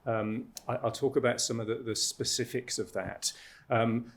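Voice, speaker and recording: A man's voice: mid-range voice, fairly even intonation, moderate pace. A man facilitating a panel and presenting a report, with many fillers and restarts.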